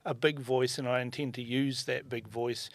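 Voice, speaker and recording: A man's voice: mid-range voice, fairly even intonation, moderate pace. Blunt New male podcast host. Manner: blunt, conversational and forthright. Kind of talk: casual interview chat.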